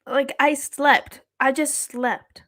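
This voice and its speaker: A woman's voice: sits high, level tone, slow pace, a bit bright. A woman hosting a live stream, chatting casually and laughing often while she talks to her chat. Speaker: commentary streamer host.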